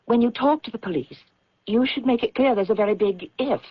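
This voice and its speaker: A woman's voice: on the high side, brisk, husky. An actress playing a sensible, cautioning woman confidante in an old-time radio drama. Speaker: actor in a radio mystery drama. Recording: dull lo-fi radio recording.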